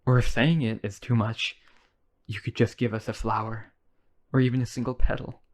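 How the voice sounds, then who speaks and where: A man's voice: mid-range voice, level tone, normal speed, close to an everyday voice. A man playing an obsessively devoted, centuries-old vampire brother in a boyfriend roleplay, earnest and pleading. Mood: desperate pleading.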